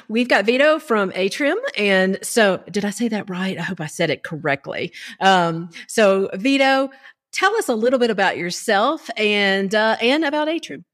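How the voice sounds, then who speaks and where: A woman's voice: mid-range voice, fairly bright tone. A woman co-hosting a casual podcast, friendly and lightly teasing as she introduces guests. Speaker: podcast co-host.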